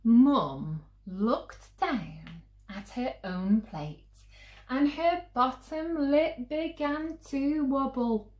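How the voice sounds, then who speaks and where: A woman's voice: high voice, very slow, a little mellow. A woman storyteller reading a picture book aloud expressively to children, inviting listeners to join in. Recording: roomy, echoing sound.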